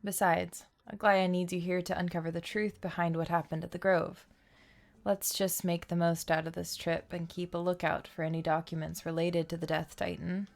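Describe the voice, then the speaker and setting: A woman reading a game's lines as a reserved, stoic young male traveller, deadpan and measured. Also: fairly low pitch, vocal fry, soft background music. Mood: calm, reassuring. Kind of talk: reading video game dialogue aloud.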